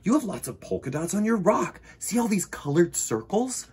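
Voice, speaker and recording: A cheerful, eager female animal from a children's storybook, read aloud by a man. The voice is slightly husky and very animated in pitch, explaining things keenly to a friend. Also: moderate pace, heavily acted. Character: cheerful, busy female animal planning a tea party. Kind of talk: children's storybook character reading.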